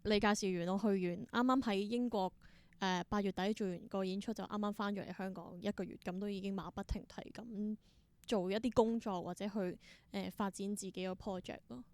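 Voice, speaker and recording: A woman's voice: mid-range voice, fairly even intonation, fairly quick, darkish tone, smooth, no room sound. A woman with a Hong Kong accent chatting casually as an interview guest. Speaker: podcast guest, independent theatre artist.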